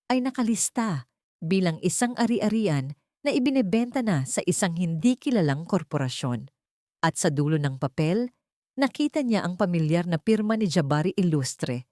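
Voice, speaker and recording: Female audiobook-style narrator, lowish pitch, dramatic scripted delivery, dry recording. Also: a little mellow, dry recording.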